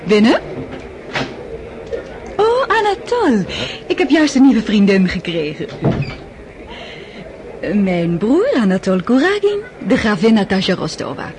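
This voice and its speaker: A worldly society countess, played by an actress, flattering and sly. Her voice swoops lively as she coaxes. Faint hiss sits under the old radio play. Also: medium pitch, a little slow, pauses often. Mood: coaxing. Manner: honeyed, flattering.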